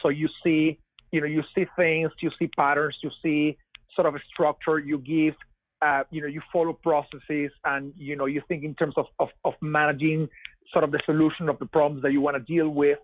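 A man's voice: fairly high pitch, flat delivery, brisk, no echo. A Venezuelan man in his forties with a Venezuelan Spanish accent, a guest chatting casually in an interview, often repeating words and filling with "you know". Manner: repeats words, many "you know" fillers, laughs easily. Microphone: over a web call. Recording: dry, phone-like web call.

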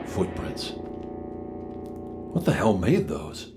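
An uneasy, blunt man in a radio play, speaking slowly with a lively, up-and-down pitch. Music runs behind him. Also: full character voice. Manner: jittery, blunt, swears. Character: nervous, blunt man named Croft.

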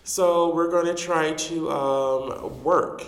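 A man's voice: a little high, fairly even intonation, slow, roomy sound, long reverb tail. A man in his forties from Chicago, chatting animatedly as a guest in an interview and laughing often. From Chicago, USA. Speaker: guest, drag performer and actor. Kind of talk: casual interview.

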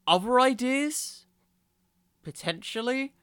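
A man hosting a solo podcast, giving conversational commentary in a musing, halting way with emphatic bursts. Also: very high-pitched, animated, a bit bright, clear, resonant tone, no echo. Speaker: podcast host.

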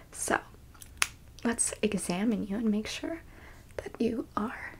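ASMR roleplay alien captor, female, played by a woman: high-ish, unhurried, whispery, smug and teasing. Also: expressive, a little hiss or hum. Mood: coolly menacing. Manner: condescending, teasing, breathy half-whispered delivery. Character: smug, teasing female alien abductor examining a captured specimen.

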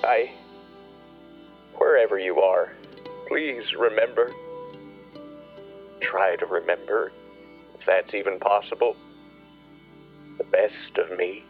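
An actor playing a proud, bitter rural farmer who grieves and broods in a halting, plain-spoken radio play monologue. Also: male voice, lowish voice, narrow, tinny audio, faint music behind. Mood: subdued, pleading.

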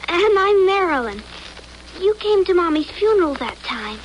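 A woman's voice: very high-pitched, big pitch swings, measured pace, dull audio, heavily acted. An actress playing a chatty, curious, innocent little girl in a childlike, eager manner in a 1950s radio drama. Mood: innocent, proud. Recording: noisy old 1950 radio broadcast.

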